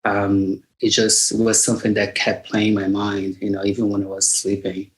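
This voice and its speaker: A man's voice: on the low side, monotone, normal speed. A middle-aged man from Bahia with a Brazilian accent, a native Portuguese speaker, talking reflectively as an interview guest and searching for his words. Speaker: guest singer-songwriter. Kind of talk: conversational interview.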